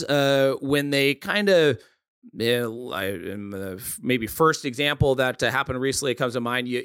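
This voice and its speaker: A man's voice: medium pitch, a bit bright, clear, resonant tone, no room sound, clean studio sound. A man hosting a sports interview, asking loose, rambling questions full of restarts. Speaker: podcast host.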